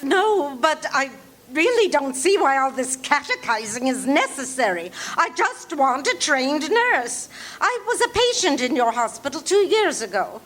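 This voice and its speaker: Frantic invalid woman, played by an actress, very high, lively voice, fretful and breathless, radio-play style. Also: a little slow, heavily acted, rough recording. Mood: demanding. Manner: fretful, breathless, rising into panic. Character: frantic, demanding invalid woman alone at night. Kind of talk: live stage radio play.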